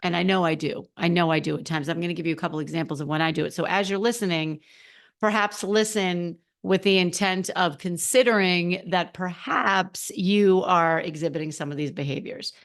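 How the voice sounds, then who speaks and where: Woman podcast host, slightly hoarse voice, lower pitch, chatty and lively, casual solo talk. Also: a little fast. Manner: chatty, animated, addresses listeners directly. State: a little hoarse.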